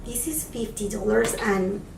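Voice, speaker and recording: A woman with a slightly raspy voice, chatting to viewers as friends in a product review vlog. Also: mid-range voice, lively intonation, unhurried, a little mellow, soft background music. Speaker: beauty vlogger. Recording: echoey room, mic at a distance.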